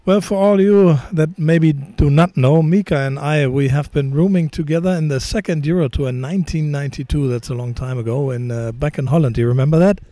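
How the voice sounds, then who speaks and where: A middle-aged man interviewing a player, friendly and halting, with many ums. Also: fairly high pitch, dark tone, no room sound. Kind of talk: casual interview questions.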